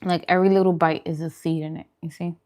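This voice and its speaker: Slightly low-voiced woman chatting casually. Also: level tone, fast, a little mellow. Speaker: host of a vegan food channel, eating on camera. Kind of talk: casual chat while eating, mukbang livestream.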